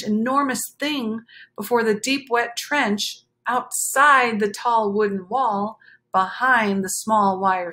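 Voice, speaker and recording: Female children's story reader, fairly high voice, unhurried and dramatic, slipping into chatty asides. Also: bright, forceful tone. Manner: dramatic, drawn-out phrasing with exclamations and gasps. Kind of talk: expressive picture book read-aloud with chatty asides.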